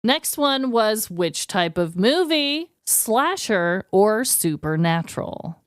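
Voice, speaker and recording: Woman podcast host, playful casual banter, really animated pitch, smooth clean voice, dry close mic. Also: slow pace, no echo. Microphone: close podcast microphone.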